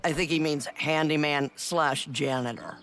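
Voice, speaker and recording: An actor playing a blunt, sarcastic adventuring companion in a game, with a dry, cutting delivery. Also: male voice, a little high, normal speed, a bit bright, faint music behind. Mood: sardonic. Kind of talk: game voice acting.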